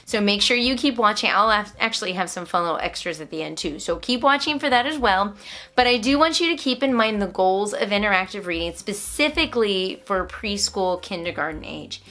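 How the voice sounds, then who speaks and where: A woman's voice: medium pitch, expressive, brisk, sharp tone. A woman, a homeschooling mother, talking in an explanatory, encouraging way as she gives teaching tips in a vlog. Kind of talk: instructional vlog talk with read-aloud asides to a child.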